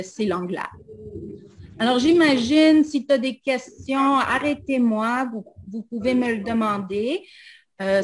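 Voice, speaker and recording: Slow, halting woman. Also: on the high side, a little mellow, low-quality recording. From Montreal, Canada. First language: English. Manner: hesitant, many "euh" fillers, switches into English. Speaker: invited guest speaker. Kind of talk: casual personal talk.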